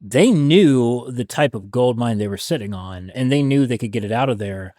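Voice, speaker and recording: A man's voice: mid-range voice, animated, normal speed, warm tone, no echo, clean studio sound. A man guesting on a history podcast, talking in a thoughtful, hedging, conversational way.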